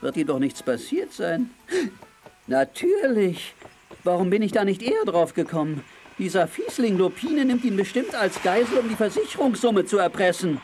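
A feisty cartoon sailor with a high voice that leaps all over its range. He talks to himself in exclamations, full of swagger, in a comic radio play with prominent music behind him. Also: big pitch swings, normal speed, a little mellow. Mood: fretful, resolute. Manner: exclamatory, thinks aloud, chuckles to himself.

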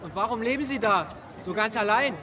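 A brash schoolboy with a very high young voice, calling out nosily in a radio play. A street crowd sounds behind. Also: male voice, expressive, a little fast. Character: brash, nosy teenage school pupil. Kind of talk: radio drama acting.

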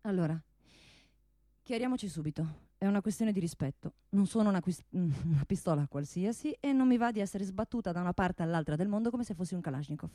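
A woman's voice: lowish voice, lively intonation, brisk, mellow, no room sound, clean studio sound, close to an everyday voice. A woman playing a proud, world-weary talking pistol in a radio theatre monologue, bossy and sardonic in a dramatic storytelling way. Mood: indignant, bossy.

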